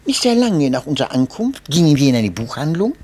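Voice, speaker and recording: A man reading a memoir aloud as narrator, in an expressive and measured way. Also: on the high side, breathy edge. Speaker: audiobook narrator.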